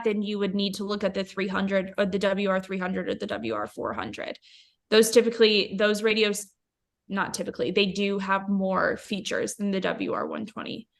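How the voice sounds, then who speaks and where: A woman's voice: medium pitch, animated, normal speed, a bit bright. A woman company representative answering product questions informally, a little hesitant and correcting herself as she goes.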